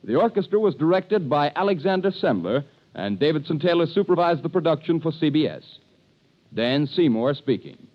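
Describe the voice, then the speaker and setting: A man's voice: on the high side, expressive, normal speed, a little hiss or hum. A man reading the closing credits as a network announcer in a formal style. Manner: crisp, formal delivery. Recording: muffled 1930s radio broadcast with music.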